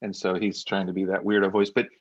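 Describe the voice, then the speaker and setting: A man's voice: deep voice, level tone, fast, no echo, highs cut off. Talkative man with a Northeastern accent. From United States. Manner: talkative, rambling storyteller. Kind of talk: casual chat. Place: home studio in South Jersey.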